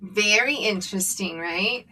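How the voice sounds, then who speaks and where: Bright-voiced middle-aged woman, distant mic. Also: medium pitch, unhurried. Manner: chatty, laughs easily, jumps in with comments. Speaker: co-host. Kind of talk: casual livestream Bible discussion.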